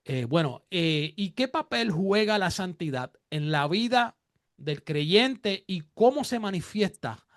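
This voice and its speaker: Higher-pitched man hosting, close dry sound. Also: no echo. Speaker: interview host. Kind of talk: conversational religious interview.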